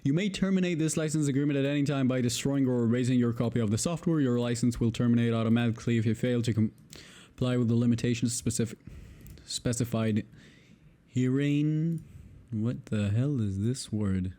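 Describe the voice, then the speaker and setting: A man's voice: medium pitch, brisk, dark tone. Man with a Hebrew accent stumbling through legalese. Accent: Israeli. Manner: stumbling over long words, exasperated swearing asides. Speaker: video creator. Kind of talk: reading a legal text aloud.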